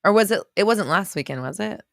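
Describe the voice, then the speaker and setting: A woman in her thirties hosting a casual livestream, reading viewer comments aloud and reacting with quick laughs and exclamations. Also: medium pitch, lively intonation, gravelly, dry recording, studio quality. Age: 30s.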